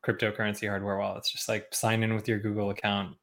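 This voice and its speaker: A young man talking as a guest on a podcast, relaxed, with lots of ums and likes and frequent chuckles. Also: fairly low pitch, quick pace. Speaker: podcast guest, tech founder. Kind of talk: casual interview chat.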